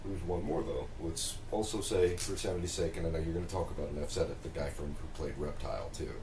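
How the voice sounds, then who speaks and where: Deep-voiced man in casual discussion. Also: steady tone, quick pace, noisy background. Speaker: podcast panelist.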